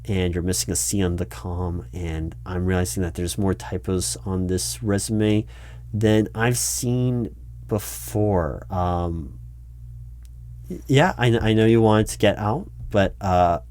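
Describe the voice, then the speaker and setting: A man playing a patient, flustered recruiting manager in an improvised roleplay, speaking in a halting, repetitive, placating way and over-explaining. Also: fairly low pitch, darkish tone, natural voice. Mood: awkward, apologetic. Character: flustered, patient male recruiting manager humoring confused old applicant. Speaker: roleplay performer. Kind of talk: improvised one-sided ASMR roleplay, normal speaking voice.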